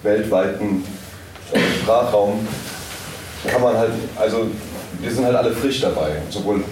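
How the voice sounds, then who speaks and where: A man's voice: lowish voice, unhurried. A man giving loose, rambling explanations as a guest in an informal live panel talk. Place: echoey convention room. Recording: very echoey room, distant, noisy.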